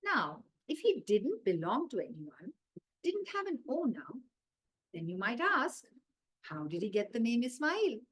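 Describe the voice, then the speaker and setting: Expressive Indian woman storyteller, lively pitch. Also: breathy edge. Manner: measured, dramatic emphasis and pauses. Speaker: author reading own story aloud. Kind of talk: expressive children's story readaloud.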